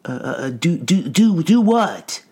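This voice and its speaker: Man voicing a nervous hobo, pitched up high. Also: sing-song, measured pace, darkish tone, husky, heavily acted. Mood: wary. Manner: stammering, folksy speech. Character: nervous, suspicious, curious male hobo.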